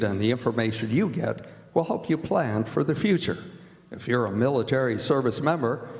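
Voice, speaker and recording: A man's voice: medium pitch, darkish tone. Echoey male old-time radio announcer. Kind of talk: 1940s radio announcing, scripted ad reads. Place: performing arts center stage. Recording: echoey hall with long reverb.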